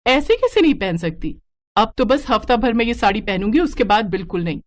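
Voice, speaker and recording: A woman's voice: a little high, very animated, fairly quick. A woman voicing a modern, outspoken young daughter-in-law in a story, pert and protesting about old rules.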